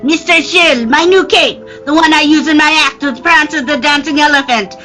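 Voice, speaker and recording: A whiny, indignant circus starlet as a woman acts her, very high and piercingly bright. She sounds aggrieved in old 1940s radio play style. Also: moderate pace, soft background music. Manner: shrill, aggrieved, taunting. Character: whiny, indignant circus star with a dancing elephant.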